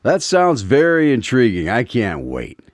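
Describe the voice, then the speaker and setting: A man's voice: mid-range voice, huge pitch swings, unhurried. A man hosting a radio drama as announcer, speaking in scripted narration. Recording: loud piano music behind voice. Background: piano music.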